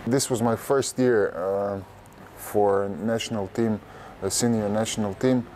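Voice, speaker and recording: A young man from Croatia speaks in a low, mellow voice, with Croatian as his first language. He talks haltingly, often repeating words as he hunts for the phrase. A lapel mic picks him up in a big indoor basketball arena with faint background hiss. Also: fairly even intonation. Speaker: basketball player, interviewee. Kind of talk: casual interview.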